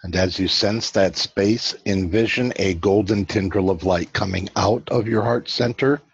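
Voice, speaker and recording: A man leading a guided meditation as host, giving slow, soothing instructions with long pauses and a husky voice. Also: fairly low pitch, fairly even intonation. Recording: dull, lo-fi, roomy sound.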